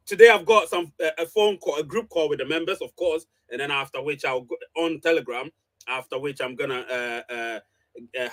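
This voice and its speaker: A man's voice: medium pitch, a bit bright, rough recording. A man hosting a live online show, talking to his followers emphatically and repeating phrases for emphasis. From Ghana. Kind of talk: live reaction commentary to followers.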